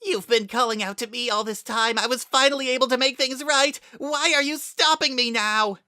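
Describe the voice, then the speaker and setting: A man voicing a desperate, furious dark spirit child in a dramatic story reading, protesting frantically. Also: very high-pitched, normal speed, smooth, no room sound, clean studio sound, voice pushed up, heavily acted. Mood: furious, pleading. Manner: frantic, shouting protests with cracking intensity.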